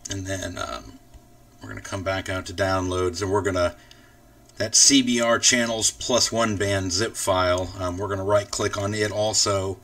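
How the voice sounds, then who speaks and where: A man with a fairly low voice talks through a software tutorial. He explains each step in an informal, casual way. He sounds set back from the microphone. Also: moderate pace, far from the mic. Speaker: tutorial host. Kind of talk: casual screen-recorded software walkthrough.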